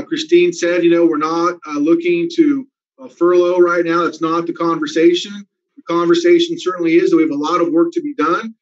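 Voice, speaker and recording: A school district administrator giving a town hall presentation over a video call, speaking steadily with frequent "um" fillers. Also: male voice, fairly high pitch. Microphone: video call webcam. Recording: dull lo-fi video call audio.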